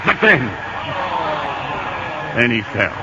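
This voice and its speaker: A man's voice: medium pitch, very animated, takes it slowly. An actor playing a folksy man who narrates a baseball story dramatically in a 1950s radio drama. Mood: excited, suspenseful. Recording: noisy, muffled old radio recording.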